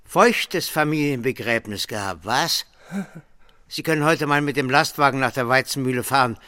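An actor playing a blustering, bullying small-town merchant boss, gruff and sarcastic, in a German radio drama. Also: male voice, medium pitch, moderate pace, sharp tone, close to an everyday voice. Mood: sarcastic, threatening.

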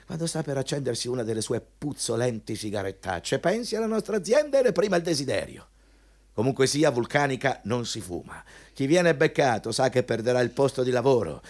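Pompous, hectoring company boss with swooping pitch. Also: male voice. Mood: menacing, hectoring. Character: bullying, pompous male company boss, fanatical about rules. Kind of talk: radio drama dialogue.

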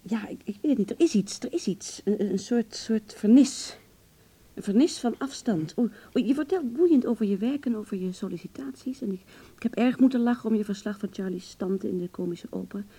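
A woman's voice: mid-range voice, a little fast, warm tone, breathy edge. An actress playing a vulnerable, searching young woman with a blunt streak in a Dutch radio play, speaking hesitantly and with intimate emotion. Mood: hesitant, uneasy.

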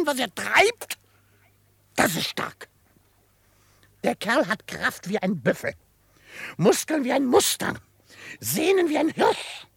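A man playing a quirky old frontier trapper in a radio drama, excitable and grumbling, gruff but fond, with a crackly voice. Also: extremely high pitch, dry recording, heavily acted. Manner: excitable, grumbling, chuckling, theatrically indignant. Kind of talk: German radio drama acting.

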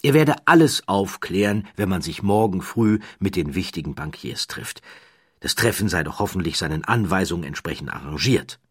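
A man giving scripted documentary narration in a measured, grave storytelling manner, dropping to a hush at tense moments. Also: low-pitched, expressive, husky, no room sound, studio quality.

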